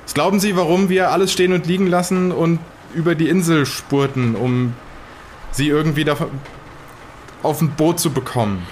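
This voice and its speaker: A man playing a guilt-laden, evasive smuggler in an improvised audio drama, with terse, halting, resigned delivery. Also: on the high side, darkish tone, a little hiss or hum, lightly acted. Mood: agitated, blunt.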